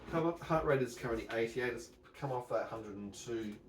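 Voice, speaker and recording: An Australian man talking calmly with his partner, matter-of-fact and clinical in tone. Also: medium pitch, brisk, recorded from a distance, noisy background. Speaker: partner and carer. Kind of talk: casual chat, calm check-ins.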